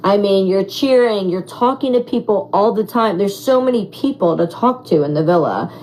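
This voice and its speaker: A raspy young woman answering fan questions in a casual, animated chat, full of "like" and "um". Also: mid-range voice, moderate pace, low-quality recording. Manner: animated, chatty, heavy on fillers like "like" and "um". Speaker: former reality show contestant.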